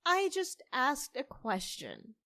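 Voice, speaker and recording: A woman's voice: high voice, wildly swooping pitch, takes it slowly, bright, forceful tone, no room sound, exaggerated voice. A woman playing a guarded, world-weary young person in an audio drama, dry, curt and defensive.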